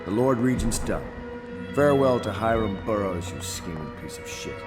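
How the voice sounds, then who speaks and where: Clear music plays behind a lowish male voice. It is a man acting a stern military plotter in a video game, blunt, decisive and used to giving orders. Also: expressive, natural voice. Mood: grimly triumphant. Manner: brisk, authoritative, blunt. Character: stern, commanding military conspirator, scheming and decisive.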